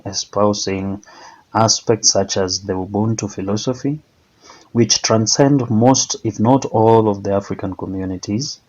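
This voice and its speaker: Low-voiced man, dull lo-fi recording. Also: darkish tone. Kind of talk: prepared monologue answer, formal.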